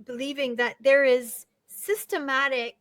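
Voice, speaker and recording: High-voiced woman guest, intense and emphatic, dry close mic, from palestine. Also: fairly even intonation, unhurried, clean tone, no room sound. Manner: forceful, emphatic, repeats words for emphasis. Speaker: guest, novelist and activist. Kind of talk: impassioned interview talk.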